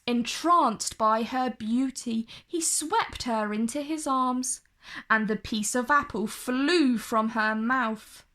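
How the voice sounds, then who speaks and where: Slow, high-voiced woman storyteller. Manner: expressive, deliberate storytelling delivery. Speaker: children's storyteller. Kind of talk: scripted story read-aloud for children.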